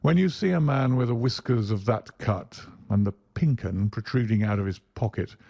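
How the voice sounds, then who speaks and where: A man voicing a calm, shrewd detective who speaks in a bland, measured, assured way, in a storybook reading. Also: medium pitch, gravelly, dry recording.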